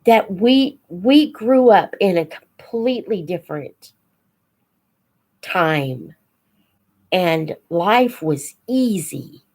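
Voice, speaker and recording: An older woman chatting casually as a live host for young viewers, in an unhurried, reflective, folksy manner. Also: on the low side, animated, a little mellow. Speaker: host of a children's book read-aloud channel.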